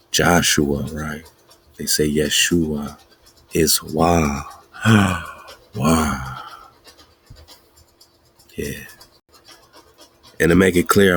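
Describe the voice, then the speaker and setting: A man's voice: a bass voice, husky. A man hosting a podcast, giving an emphatic, chanting, preaching-style teaching monologue, laughing often. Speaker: podcast host.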